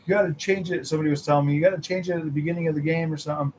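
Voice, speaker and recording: A young man hosts a gaming stream in a slightly higher voice, chatting loosely with viewers and laughing often. His voice still sounds a little worn, since he had nearly lost it. Faint noise hums under the recording. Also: rapid-fire. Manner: animated, laughing, mimics others in a high voice. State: recovering from losing his voice. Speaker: gaming channel host. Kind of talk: casual livestream chat with viewers.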